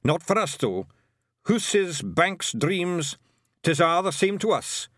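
Man playing a tiny Scottish pixie chief, gruff brogue, cheeky, boastful, swooping pitch, dry close sound. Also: sing-song, slow, fairly bright tone, dry recording, exaggerated voice.

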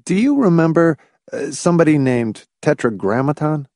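A man's voice: a little high, sing-song, moderate pace, a little mellow, dry recording, studio quality, voice pushed up. A man voicing an exasperated, cynical male research statistician in an audiobook, with an emphatic delivery. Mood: tentative. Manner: emphatic, swinging from outburst to weary mutter.

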